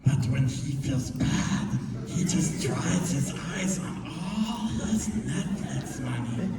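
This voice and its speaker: A man's voice: on the high side, lively intonation, slow. A man voicing a creepy Gollum-like creature, hamming it up in a live comedy table read. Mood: creepy, sly. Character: creepy Gollum-like creature reading comic-book lines. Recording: echoey noisy convention hall, distant mic.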